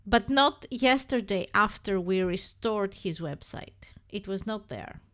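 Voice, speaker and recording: A young woman with a Greek accent, hosting a relaxed live stream and chatting playfully with viewers while she works on a website. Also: medium pitch, a little slow. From Greece. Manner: chatty and playful, talks to chat viewers by name, thinks aloud while working. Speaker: livestream host.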